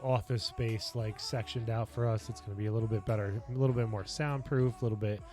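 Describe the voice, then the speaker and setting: A man chatting casually on his home livestream in a basement office, rambling and earnest with lots of fillers, his voice getting a little raspy. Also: lowish voice, fairly even intonation, quick pace, mellow, faint music behind. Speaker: streamer and lifestyle coach.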